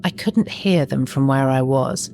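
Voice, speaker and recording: Low, creaky prowling river monster. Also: female voice, measured pace, darkish tone, a little hiss or hum, exaggerated voice. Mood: curious, puzzled. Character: prowling scavenging river monster, contented and oddly naive. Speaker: narrator. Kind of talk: scripted first-person horror audio story.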